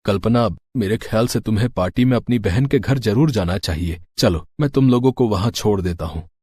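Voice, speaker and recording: नरम, दिलासा देता गरीब पिता बना पुरुष. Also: male voice, on the low side, brisk, dark tone, breathy edge, no room sound. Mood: calm, reassuring. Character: kind, humble, poor rickshaw-driver father, reassuring husband. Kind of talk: Hindi cartoon moral story acting.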